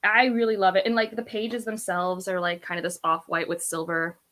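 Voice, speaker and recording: A woman's voice: on the low side, flat delivery, a little fast, fairly bright tone. A woman in her thirties chatting casually and emphatically about books, rambling and opinionated. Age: 30s. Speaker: book vlogger on a read-along panel. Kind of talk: casual book chat.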